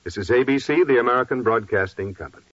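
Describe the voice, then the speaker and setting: A man working as a network announcer, reading formally and with measured delivery in 1940s radio style. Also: mid-range voice, fairly bright tone, no echo. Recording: muffled old 1940s radio broadcast.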